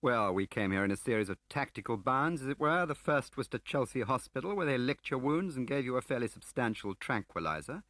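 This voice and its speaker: A man voicing an urbane, flippant gentleman spy in an audiobook, with a droll upper-class English manner. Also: mid-range voice, lively intonation, a little fast, few pauses, dry recording, pitched above the performer's own voice, close to an everyday voice. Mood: breezy. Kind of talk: audiobook character acting.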